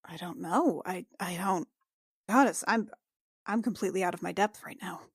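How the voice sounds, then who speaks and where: A woman playing a tabletop fantasy game, improvising in character in a halting way and often repeating herself. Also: fairly low pitch, slightly rough, dry recording, studio quality.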